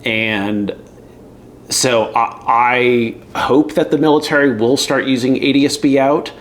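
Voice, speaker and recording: A man's voice: mid-range voice, steady tone, measured pace. A man giving casual, opinionated commentary in a conversational, emphatic way. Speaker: commentator reacting to a news segment.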